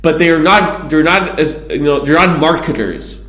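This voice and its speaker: A man's voice: a little high, moderate pace, a bit bright. A man from Israel, a guest on an interview podcast, telling his story in a casual, rambling way with plenty of asides. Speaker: guest, PR agency founder. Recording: echoey room.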